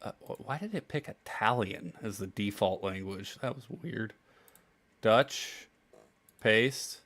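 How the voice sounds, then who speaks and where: A man chatting casually on a podcast with a bright, forward tone. He keeps a laid-back, easygoing delivery, laughing often and trailing off into restarts. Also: fairly low pitch, slow. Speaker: podcast co-host. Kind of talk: casual chat.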